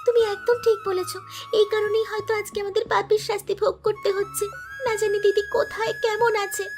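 Very high-pitched sorrowful village wife. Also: female voice, little pitch movement, brisk. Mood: worried, regretful. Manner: plaintive, dramatic. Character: guilt-ridden village wife, sorrowful and repentant. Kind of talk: Bengali cartoon voice acting. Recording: music behind the voice.

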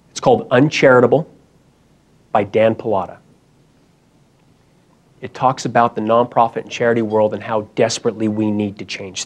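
A young man giving an emphatic, urgent motivational talk at a conference, speaking into a handheld mic in a hall. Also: medium pitch.

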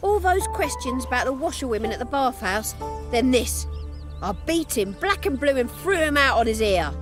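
Lively, high-voiced fiery townswoman. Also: moderate pace. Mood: scornful, indignant. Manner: expressive, earthy, blunt. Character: fiery, sharp-tongued scorned townswoman. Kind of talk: video game cutscene acting. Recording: music behind the voice.

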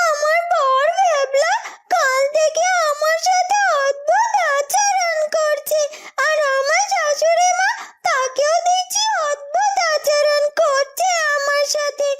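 A woman voicing a brash, bossy, quarrelsome young daughter-in-law in a fairy-tale cartoon, scolding and accusing. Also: extremely high pitch. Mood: complaining. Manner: confrontational, scolding. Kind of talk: dramatized Bengali fairy-tale cartoon acting. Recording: loud music behind the voice.

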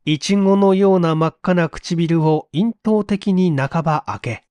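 A man reading a literary mystery story aloud in an expressive, theatrical storytelling manner. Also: on the high side, a little slow, smooth, dry recording. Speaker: reader of audio stories.

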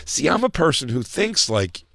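A man on a comedy podcast with a gravelly, creaky edge to his voice, bouncing all over his pitch as he riffs. His delivery is quick banter, slipping into voices for bits. The sound is close and dry, with no room around it. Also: sing-song, pushed and bright, no room sound. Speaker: podcast co-host.

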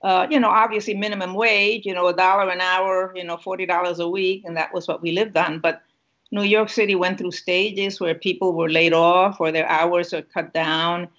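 A woman's voice: fairly low pitch, steady tone, moderate pace, a bit bright. A woman in her seventies from Puerto Rico with a Puerto Rican accent, an author talking unhurriedly about her life on a video call from home. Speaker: author and guest. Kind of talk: conversational interview and book reading. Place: home room with a lamp. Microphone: laptop webcam video call. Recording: muffled video call audio.

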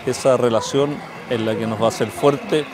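An older Chilean man giving a measured, halting interview statement outdoors. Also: medium pitch, fairly even intonation, over a noisy crowd. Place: outdoors at a rally. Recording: outdoor street noise.

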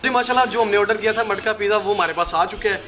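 A man's voice: high voice, rapid-fire, music in the background. A man in his twenties with a Pakistani accent, presenting a food vlog in a casual style. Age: 20s. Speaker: food vlogger, host. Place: small open-front street restaurant and seating area. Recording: noisy street-side eatery ambience.

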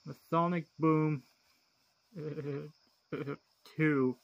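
A man gives a casual, unscripted showcase of a game in a monotone voice. He talks very slowly and hesitantly, breaking his sentences into small pieces with long gaps. It sounds like an amateur handheld recording. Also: on the high side, no echo, highs cut off. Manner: hesitant, fragmented, long pauses between phrases. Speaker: amateur video host showing a handheld game.

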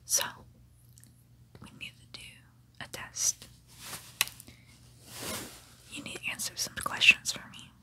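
A woman in her twenties playing a gentle, protective female vampire in a tender, soothing, breathy ASMR roleplay whisper, close to the mic. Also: slow pace, long pauses. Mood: careful, protective. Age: 20s. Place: small bedroom, indoors. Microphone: close-up mic, face near camera.

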